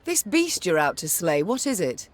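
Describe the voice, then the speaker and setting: A woman's voice: lowish voice, very animated, moderate pace. A woman playing a proud, commanding queen in a fantasy game, speaking in a formal, pointed way. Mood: indignant. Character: proud, commanding warrior queen questioning a mercenary. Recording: background music under the dialogue.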